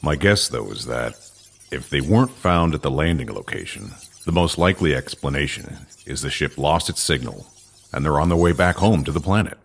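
An actor playing a commanding, guarded alien council official in a sci-fi audio drama, with a gravelly, husky voice and questioning manner. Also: male voice, extremely low pitch, animated, normal speed, heavily acted. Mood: reassuring, cautious. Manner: authoritative, questioning.

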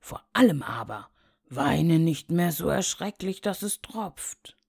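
A woman voicing a small bearded gnome in a fairy tale, solemn, deliberate and self-important. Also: low voice, lively intonation, creaky, a little mellow, breathy edge, no room sound, studio quality, exaggerated voice. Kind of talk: fairy-tale storybook character voice.

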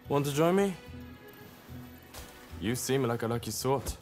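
An actor playing a carefree, roguish young samurai gambler in a video game, sly and nonchalant. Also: male voice, medium pitch, animated, measured pace, darkish tone, natural voice. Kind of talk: video game cutscene acting. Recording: music playing behind the voice.

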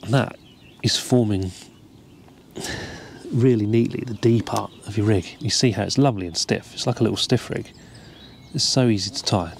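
Relaxed low-voiced angler explaining. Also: male voice, normal speed, creaky, a little hiss or hum. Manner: relaxed, explains each step while tying. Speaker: carp fishing angler demonstrating a rig. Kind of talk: casual step-by-step tutorial. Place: outdoors by the water, seated over a tackle box.

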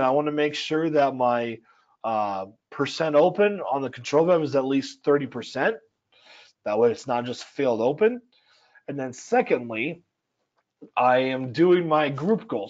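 A man with a dry, scratchy voice, explaining a software tool step by step in a casual, filler-filled way. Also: fairly high pitch, lo-fi sound. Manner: explanatory, conversational, with frequent fillers. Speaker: software trainer. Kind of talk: screen-share software tutorial. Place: home or office at altitude.

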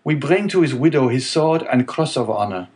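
A man reads as a calm young sailor with steady resolve, his voice set clearly higher than the narration. The tone stays earnest and grave, each reply urgent and respectful. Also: normal speed, lightly acted.

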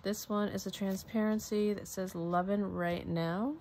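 Woman, mid-pitched voice, slow pace, casual haul commentary, musing to herself, some background noise. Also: fairly even intonation. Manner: chatty, musing aloud to herself. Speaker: crafter showing a product haul. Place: indoors at a craft table.

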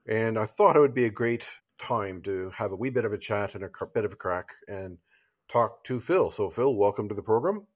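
A man hosting a podcast, relaxed and conversational. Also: on the low side, normal speed. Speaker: podcast host. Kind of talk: interview hosting.

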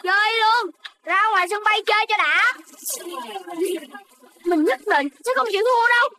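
A woman's voice: very high voice, moderate pace, pushed and bright, a little hiss or hum. A woman with a Southern Vietnamese accent voicing a spirited, boastful, eager little boy animal in a children's cartoon. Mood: battle excitement.

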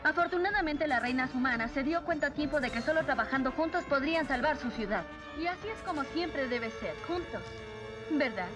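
A spirited cartoon warrior woman with a high, animated voice and a Latin American accent. She is confident and wry over loud music. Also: brisk. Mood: earnest.

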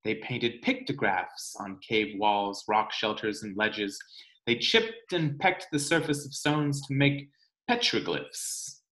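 A man reads a picture book aloud in an expressive, deliberate, teacherly way. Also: lowish voice. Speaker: children's read-aloud presenter. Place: indoor room at home. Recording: roomy home webcam sound.

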